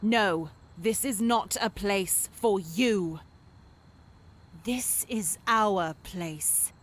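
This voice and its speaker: A woman voicing an eerie, cryptic, detached young woman in a horror video game, speaking in a deadpan, deliberate way. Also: medium pitch. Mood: ominous, detached.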